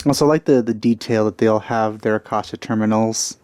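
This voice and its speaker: A man's voice: on the low side, steady tone, brisk, a little mellow, clear, resonant tone, no echo, clean studio sound. A man hosting a let's play, giving casual, musing commentary as he reacts.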